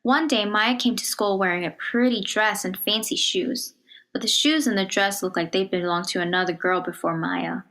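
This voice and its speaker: A woman reads a children's picture book aloud in a measured, expressive storytelling manner, dropping to a hush on some phrases. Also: medium pitch. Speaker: storybook reader.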